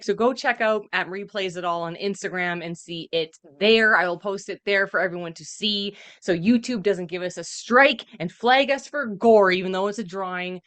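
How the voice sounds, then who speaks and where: A woman's voice: mid-range voice, moderate pace, few pauses, a bit bright. A woman hosting a podcast in a casual, conversational chat style. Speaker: podcast host.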